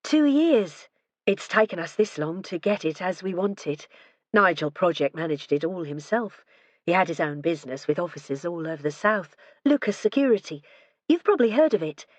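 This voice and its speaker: A gentle, somewhat prim retired wife, as a woman reader voices her, speaking softly in a low register. The sound is dry and slightly muffled. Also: animated, normal speed, no echo. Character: gentle retired wife, polished and soft-spoken.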